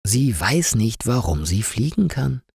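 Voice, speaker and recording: A man narrates a story for learners. He speaks slowly and carefully, with lively pitch movement. His voice is somewhat husky and recorded very dry. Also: no echo. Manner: simple, carefully articulated storytelling.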